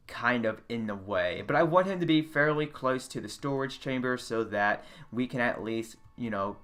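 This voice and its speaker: Loud, bright-voiced man narrating gameplay. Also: medium pitch. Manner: loud, chatty, self-correcting. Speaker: gaming channel host. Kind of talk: casual game commentary. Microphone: desk USB condenser mic.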